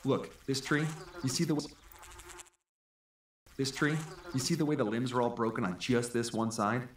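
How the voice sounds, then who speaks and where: An actor playing an eager man who points out signs in the forest in an animated, explanatory way, for an audio drama. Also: medium pitch, normal speed, close to an everyday voice. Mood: excited, pointing out. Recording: roomy playback with noise and clicks.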